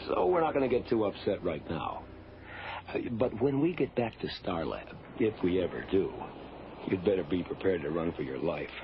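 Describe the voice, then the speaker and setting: A man's voice: deep voice, animated, normal speed, background noise. An actor playing an easygoing, wisecracking space crewman in a radio drama, with a wry, casual delivery. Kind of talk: old-time radio drama acting. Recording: dull radio recording over music.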